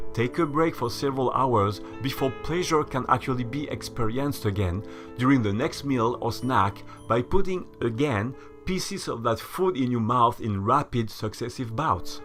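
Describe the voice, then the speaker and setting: A man delivering a scripted voice-over essay in a measured, lecturing, mildly sardonic way. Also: medium pitch. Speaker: channel host.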